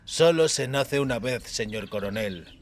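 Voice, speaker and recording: A man voicing a calm, fatalistic elf rebel in a fantasy audiobook, soft-spoken and solemn. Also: medium pitch, a little slow, no echo. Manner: philosophical, solemn.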